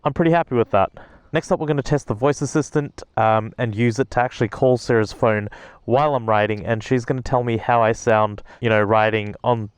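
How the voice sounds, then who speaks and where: A man's voice: mid-range voice, smooth, no echo. A man giving casual, chatty vlog commentary as a motorcycle gear reviewer, speaking from inside a helmet out on the road. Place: outdoors on a motorcycle on public roads. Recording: loud engine and wind noise.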